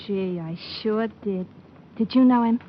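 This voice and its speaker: An actress playing a chatty, guileless young office secretary in a slangy old radio detective drama. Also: female voice, mid-range voice, a little slow, slight background noise, natural voice. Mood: chatty, naive. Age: young adult. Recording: muffled old-time radio recording.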